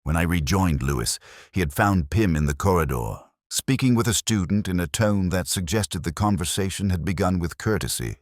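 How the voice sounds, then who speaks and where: A man with an exceptionally deep voice narrates a detective story in the first person. His delivery is measured and brooding, yet the pitch moves expressively. The sound is dry, with very little room to it. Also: no echo.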